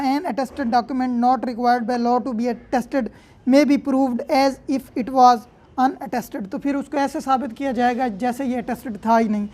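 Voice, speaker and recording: A woman's voice: sits high, darkish tone. A woman with a Pakistani accent giving an explanatory law lecture in a didactic way, with frequent check-in tags. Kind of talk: explanatory lecture, reading out and paraphrasing legal text.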